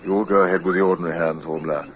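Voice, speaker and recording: A plain-spoken British naval lieutenant acted by a man in a radio sea drama, used to command. His voice is very low and gruff. The recording is dull, with a faint noise under it. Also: steady tone, rapid-fire, lightly acted. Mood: steady, wary.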